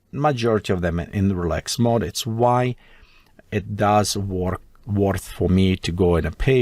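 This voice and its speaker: Tutorial host, male, Russian accent, non-native English, lowish voice, dry close mic, talks while typing. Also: darkish tone, dry recording. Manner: thinks aloud while typing, with broken non-native grammar. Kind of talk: screen-recorded software tutorial.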